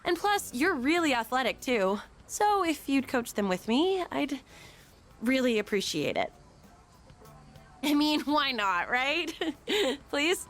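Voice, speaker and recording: A woman's voice: high voice, big pitch swings, takes it slowly, a bit bright, soft background music, heavily acted. A woman playing an upbeat, sporty teenage girl who coaches kids firmly, in an anime game dub. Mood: sheepish, grateful. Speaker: student volunteer coach.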